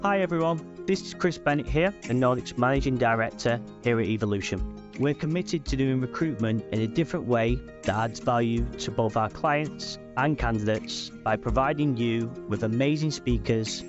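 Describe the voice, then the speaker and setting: Upbeat male promo over guitar. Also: mid-range voice. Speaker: managing director, sponsor message. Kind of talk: read promotional message. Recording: dull sound over guitar music. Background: guitar music.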